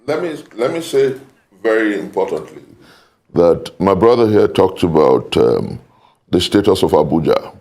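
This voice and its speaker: A man's voice: low voice, big pitch swings, a little mellow. A man with a Nigerian accent making an emphatic, formal argument in a political debate, repeating phrases for weight. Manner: emphatic, formal, deliberate repetition. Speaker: political panel guest.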